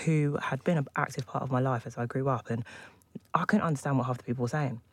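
A British man talks in a relaxed panel discussion, weighing his words and laughing easily. His voice sits at an everyday middle pitch. The room is dry and close, with no echo. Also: fast talker. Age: adult, past his twenties. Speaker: panel guest. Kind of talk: casual discussion.

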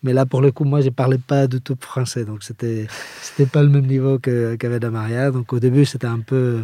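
A man's voice: medium pitch, level tone, brisk, dark tone, no echo. A man from Spain speaking French with a Spanish accent, answering interview questions in a conversational way as an engineer. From Valencia area, Spain. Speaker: interviewee, engineer and architecture firm co-founder.

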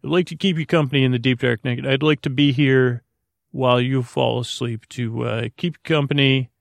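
A man with a creaky, gravelly voice talks in a flat, lulling way, like a friendly bedtime podcast host. He meanders, stops and restarts, and drifts off mid-thought. The sound is close and dry. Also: medium pitch, dry recording. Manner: meandering, halting, repeats and trails off mid-thought. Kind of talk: rambling bedtime monologue to help listeners sleep.

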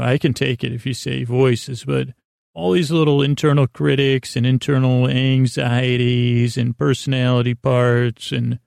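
A man hosting a bedtime podcast in a meandering, halting, self-deprecating monologue with a whimsical streak. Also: mid-range voice, vocal fry, a little mellow, no room sound, clean studio sound. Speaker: host of a bedtime sleep podcast.

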